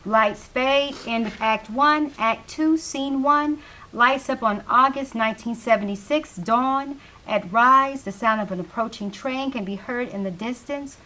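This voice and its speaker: A woman with a fairly high voice narrates a play. She reads the scripted stage directions between the characters' lines. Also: measured pace, bright, forceful tone, low-quality recording.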